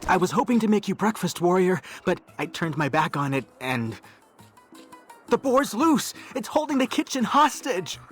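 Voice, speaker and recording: A man's voice: high voice, sing-song, moderate pace, over music. A man playing an earnest, timid young man in a video game, apologetic, fretful and given to complaining. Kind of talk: video game character acting.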